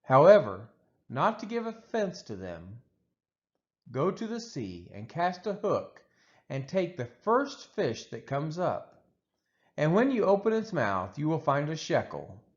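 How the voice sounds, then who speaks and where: A man reading the Bible aloud from a text in a measured, deliberate, expressive way, as for a church read-along. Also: on the high side, lo-fi sound. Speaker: scripture reader for a daily church read-along.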